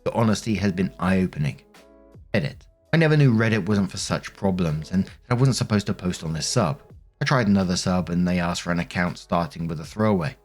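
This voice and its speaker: A man's voice: deep voice, brisk, faint music behind. A man narrating online posts, reading steadily and adding brief casual asides. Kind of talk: reading posts aloud with commentary.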